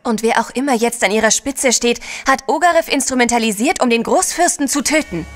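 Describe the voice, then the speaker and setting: Actress as inquisitive gentlewoman, reverberant room. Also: fairly high pitch, lively intonation, a little fast, lightly acted. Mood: grave. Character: inquisitive, shrewd wife of the hero. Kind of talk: audio drama acting.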